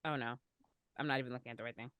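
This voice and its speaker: A woman's voice: a bass voice, fairly even intonation, racing, no room sound, highs cut off. A woman chatting casually as a podcast co-host, with an informal, giggly manner. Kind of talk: casual chat.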